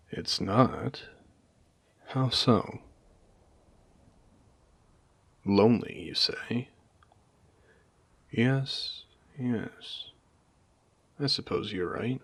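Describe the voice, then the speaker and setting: Man voicing a gravelly, theatrical post-apocalyptic casino AI. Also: lowish voice, no echo, heavily acted. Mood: curious, probing. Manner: articulate, theatrical, deliberate, formal wording. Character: wry, courteous male AI, once human, haunting a ruined casino. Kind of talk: dramatic audio roleplay monologue addressed to a listener.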